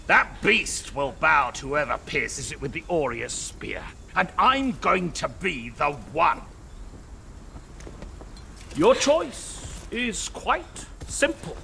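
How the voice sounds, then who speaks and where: Game villain, male: pompous abbey lord, slow and swooping, oozing smug mock courtesy. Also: a little high, big pitch swings, gravelly, pushed and bright, a little hiss or hum, full character voice. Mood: gloating, menacing. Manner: mock-polite, pompous, drawling. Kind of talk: video game cutscene acting.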